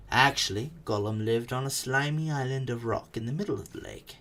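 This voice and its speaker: A storyteller giving a dramatic, suspenseful reading of a book, with phrases often falling away into a hush. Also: medium pitch. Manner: expressive, suspenseful, hushed phrase endings. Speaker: narrator. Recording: faint background noise.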